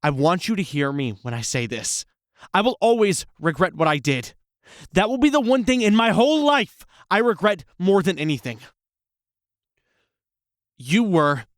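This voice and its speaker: A man plays a lovesick ex-boyfriend full of regret in an audio drama. He pleads earnestly and haltingly. The sound is dry and intimate. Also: on the high side, expressive, no room sound. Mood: remorseful.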